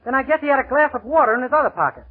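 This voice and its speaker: Casting: a literal-minded, puzzled youth, very high and fast-talking, 1930s radio comedy on a tinny old recording. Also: male voice, expressive, very noisy. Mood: earnest, puzzled. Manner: earnest, puzzled. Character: naive, literal-minded boyish young man.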